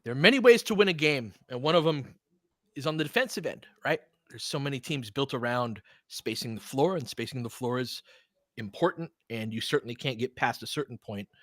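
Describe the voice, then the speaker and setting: A man doing casual fan play-by-play of a game as a host, in an excitable, slangy style with some swearing. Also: mid-range voice, fairly quick, no room sound, studio quality. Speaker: sports podcast host calling a game.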